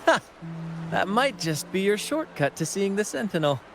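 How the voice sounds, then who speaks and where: A man's voice: sits high, big pitch swings, normal speed, vocal fry, over music, heavily acted. An actor playing a confident, flamboyant carnival showman in a video game, breezy and playful in manner. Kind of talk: video game cutscene acting.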